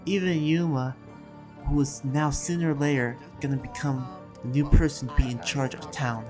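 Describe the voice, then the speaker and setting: A roleplayer voicing an earnest, troubled demon slayer, telling events in a halting, reflective recap with restarts. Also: medium pitch, steady tone, lightly acted. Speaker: roleplayer and video creator. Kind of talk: in-character recap narration and improvised roleplay. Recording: music under the voice. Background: music.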